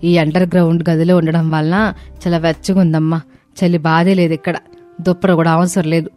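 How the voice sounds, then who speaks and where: A woman voicing a gentle, plaintive elderly mother-in-law in a cartoon story, with a pleading, motherly manner. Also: fairly low pitch, little pitch movement, brisk, soft background music, lightly acted. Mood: grateful.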